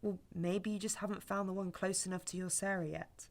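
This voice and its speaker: A woman's voice: medium pitch, fairly even intonation, brisk, lightly acted. A young woman playing a blunt, guarded sceptic on a first date in a naturalistic sci-fi audio drama, dry and matter-of-fact. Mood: placating.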